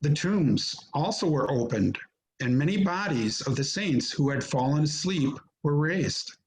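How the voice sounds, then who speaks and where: A man narrating a scripture reading in a measured, solemn manner. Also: fairly high pitch, animated, a little hiss or hum, poor audio. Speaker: narrator in a church Passion reading.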